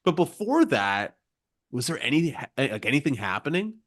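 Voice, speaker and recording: Male podcast interviewer. Very lively pitch, mid-range voice, informal and curious. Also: moderate pace. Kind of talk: casual conversational interviewing.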